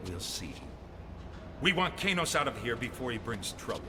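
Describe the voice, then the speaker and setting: Sneering male rebel soldier played by an actor, animated. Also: medium pitch, normal speed, noisy background, close to an everyday voice. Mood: sneering menace. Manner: menacing, sneering. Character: hot-headed, scornful male rebel soldier, mutinous troublemaker. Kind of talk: audio drama acting. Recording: loud music under the voice. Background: dramatic score.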